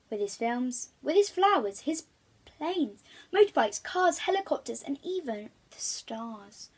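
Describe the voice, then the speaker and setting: A woman storyteller reads a picture book for young children. Her voice sits fairly high with a slight huskiness. She is expressive and leans on key words. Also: breathy edge. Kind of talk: children's storybook read aloud.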